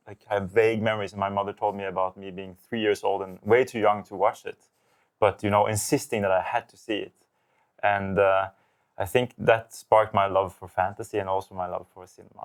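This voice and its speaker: A man from Norway, a film director, answering interview questions thoughtfully, with many fillers and restarts. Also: fairly low pitch.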